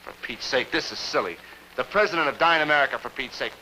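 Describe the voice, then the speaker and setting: A bright-voiced, flustered clerk. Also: male voice, medium pitch, fast, a little hiss or hum, rough recording. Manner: exasperated, hesitant. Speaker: office worker.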